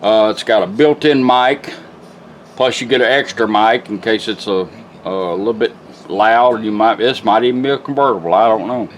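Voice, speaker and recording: A man's voice: on the low side, normal speed, slight background noise. A middle-aged man giving a casual, plainspoken product demonstration at a workshop bench, like a folksy repairman. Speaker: car radio restorer demonstrating a product. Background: music playing from the radio being demonstrated.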